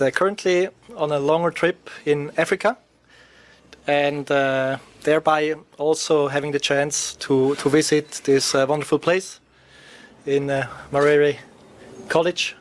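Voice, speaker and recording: A man's voice: fairly high pitch, steady tone, a little slow, a little hiss or hum. A man from Switzerland, a radio interview guest, talking hesitantly with many filler sounds. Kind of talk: interview answers.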